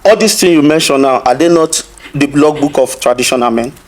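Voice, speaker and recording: A man with a somewhat high voice pushes his argument in a loose podcast debate. He keeps cutting in to hold the floor and press his questions. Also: fairly quick, crowd noise. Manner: insistent, interrupts to hold the floor. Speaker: podcast guest. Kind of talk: heated casual debate.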